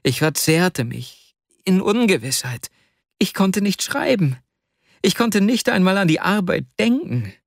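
A man's voice: high-pitched, sing-song, a little slow, gravelly, no echo, studio quality, voice pushed up, heavily acted. A man doing a desperate young clerk. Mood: anguished pleading. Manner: anguished, pleading, voice raised. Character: desperate young male clerk, tearful and pleading. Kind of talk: audiobook character voice.

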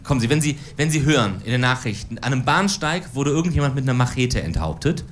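A woman's voice: extremely low pitch, animated, fast talker. A woman in her forties performing stand-up political cabaret on stage, with a dry, ironic delivery. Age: 40s. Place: stage before a live audience.

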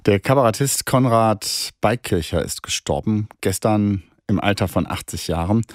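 News anchor guy, low voice, calm and formal, dry studio sound. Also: no room sound. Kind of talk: news presenting.

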